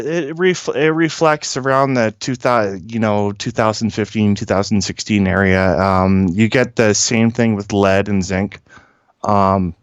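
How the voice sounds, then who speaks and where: A man speaking as a guest caller, giving informal industry commentary in a halting, blunt, opinionated way with many filled pauses. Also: on the low side, clear, resonant tone, no echo, muffled recording.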